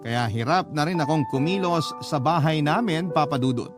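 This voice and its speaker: A man's voice: a little high, moderate pace, lightly acted. A Filipino man reading a troubled young mother's letter as dramatic first-person radio narration. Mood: earnest, troubled. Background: music bed.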